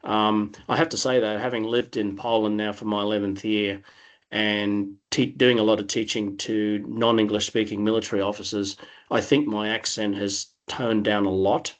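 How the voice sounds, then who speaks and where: Middle-aged Australian man, low-ish voice, talkative interview guest who explains things at length. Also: fairly even intonation, normal speed. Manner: chatty, self-correcting, explains at length. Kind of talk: relaxed conversational interview talk.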